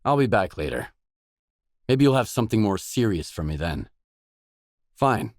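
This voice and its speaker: Low-voiced, blunt game hero, dry sound. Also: male voice, sing-song, normal speed, a little mellow, no room sound. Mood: businesslike. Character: gritty male stalker protagonist, wary, blunt, world-weary adult. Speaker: video game protagonist. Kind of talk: acted video game dialogue lines.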